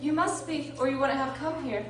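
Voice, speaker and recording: Woman playing the high-voiced, teasing mad Cheshire Cat. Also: normal speed, a bit bright. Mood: playfully mad. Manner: matter-of-fact riddling, teasing. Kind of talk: children's storybook radio drama acting. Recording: echoey room, distant mic, faint noise.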